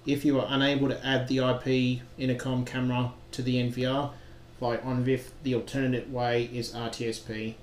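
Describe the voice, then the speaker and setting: A man narrating a software tutorial as a trainer, giving plain step-by-step instructions in a roomy recording with some noise. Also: medium pitch, flat delivery, normal speed, few pauses. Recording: roomy echo with background noise.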